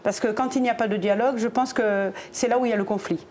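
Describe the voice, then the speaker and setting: Outspoken middle-aged woman, bright high voice. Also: steady tone, quick pace, slight background noise. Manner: outspoken, emphatic. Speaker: talk show guest. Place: television studio.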